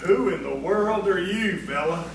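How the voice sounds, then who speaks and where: Man acting blunt, high-voiced barfly, very echoey. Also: a little slow, creaky. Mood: suspicious. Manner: blunt, suspicious, folksy. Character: nosy, hard-drinking, uneducated male barfly. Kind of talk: audio theatre acting. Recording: echoey, distant stage recording, faint noise.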